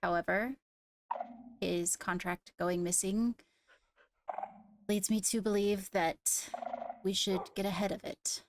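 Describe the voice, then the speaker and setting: A woman with measured, formal phrasing, addressing a court as its leader in an improvised roleplay. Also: medium pitch, steady tone. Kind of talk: improvised in-character roleplay announcement.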